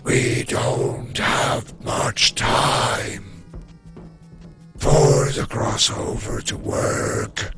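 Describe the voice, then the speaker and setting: A man's voice: mid-range voice, vocal fry, fairly bright tone, heavily acted. A man voicing a giant alien robot with a theatrical, grandiose manner in an amateur comic dub.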